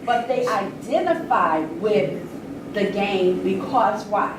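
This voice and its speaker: A woman's voice: mid-range voice, takes it slowly. A middle-aged American woman moderating an informal public panel discussion in a conversational, emphatic, questioning way. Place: large indoor event space with audience. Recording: echoey, very noisy room, distant mic.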